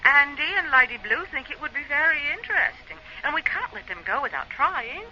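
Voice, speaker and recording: A woman playing a brisk, confident spaceship crew member in a radio play, assertive and informal over a radio link. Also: sits high, very animated, bright, forceful tone, highs cut off, exaggerated voice. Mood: insistent. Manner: matter-of-fact, assertive, informal. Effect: radio transmission filter.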